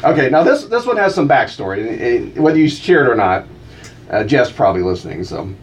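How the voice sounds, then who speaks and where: A man joking with the host on a radio show, teasing and animated, reading out mock slogans. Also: medium pitch, quick pace, distant mic. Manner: teasing, animated, breaks into chanted cheers. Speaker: guest co-host. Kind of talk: playful radio banter.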